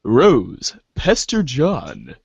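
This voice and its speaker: A man's voice: medium pitch, wildly swooping pitch, extremely slow, creaky, husky, no echo. A man narrating as host of a reader's theater, theatrical in the story and casual in asides, on a slightly dull recording.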